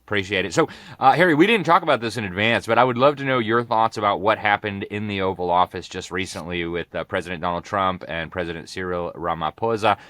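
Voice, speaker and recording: A man's voice: lowish voice, quick pace, hardly any pauses, fairly bright tone, no room sound, studio quality. A man hosting an interview, enthusiastic and hesitant with many filler sounds. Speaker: host.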